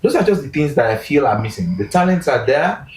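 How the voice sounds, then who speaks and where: A man talking as a guest in a casual interview, animated, pushing his voice up for emphasis and restarting sentences. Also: medium pitch, brisk. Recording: roomy echo.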